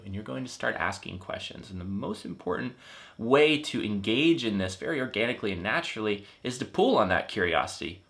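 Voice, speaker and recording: Gentle, bright-toned man in his thirties. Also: on the low side. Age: 30s. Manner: gentle, earnest, with fillers and restarts. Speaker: life coach. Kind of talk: explanatory teaching talk.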